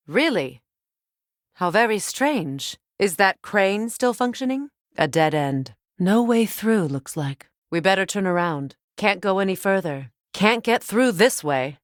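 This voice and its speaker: A woman's voice: mid-range voice, dry recording, studio quality. An actress playing a stern, proud warrior goddess, a battle-hardened mother with a commanding, curt manner, in a fantasy video game. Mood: calm, observant. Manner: commanding, curt, sharp-tongued. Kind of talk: dramatic fantasy game dialogue.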